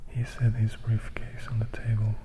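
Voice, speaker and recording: A man narrating a story as ASMR, reading softly and deliberately, phrase by phrase, in a whisper. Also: lowish voice, completely flat delivery, poor audio.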